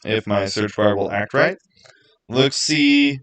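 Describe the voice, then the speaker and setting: A man's voice: lowish voice, slow pace, a bit bright. Casual, rambling man livestreamer. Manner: rambling, repeats words for emphasis. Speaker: YouTube creator and livestream host. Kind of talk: casual livestream chat to viewers. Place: small home studio, indoors.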